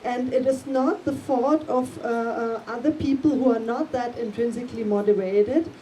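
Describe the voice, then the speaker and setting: A middle-aged woman with a German accent speaks as a panel guest, her voice a little high and mellow. She hunts for English words with plenty of ums. She sounds set back from the mic, with a faint hum under the room. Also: normal speed, distant mic, rough recording. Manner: searching for words, frequent ums. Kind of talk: casual panel talk.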